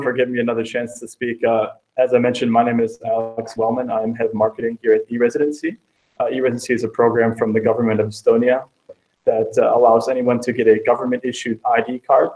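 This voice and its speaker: A man talking through headphones on a video call, mid-pitched and flat in tone. He speaks fast and fluently, in a polished, promotional manner. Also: poor audio. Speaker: panelist, head of marketing. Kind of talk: online panel introduction.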